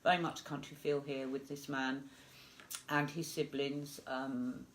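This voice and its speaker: A woman's voice: rumbling low, level tone, measured pace, slight background noise, poor audio. A woman with a stuffed-up voice from a flu, giving a chatty live psychic reading with many fillers. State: congested with flu. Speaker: psychic medium.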